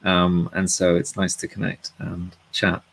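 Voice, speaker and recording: A man's voice: deep voice, completely flat delivery, measured pace, vocal fry, fairly bright tone, slightly rough. Casual man hosting a webinar. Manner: chatty, joking, many fillers. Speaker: co-host of webinar.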